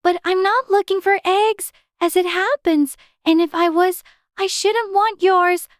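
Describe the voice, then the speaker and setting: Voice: very high-pitched, steady tone, a little slow, no room sound, studio quality, pitched above the performer's own voice. A narrator voicing a polite, earnest and easily indignant little girl in a storybook. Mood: hasty protest. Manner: prim, earnest.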